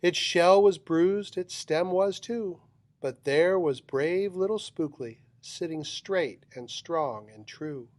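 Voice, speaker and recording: A man's voice: high-pitched, takes it slowly, darkish tone, no room sound. A man reading a children's picture book aloud with dramatic, rhythmic delivery of rhyming verse. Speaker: storybook reader.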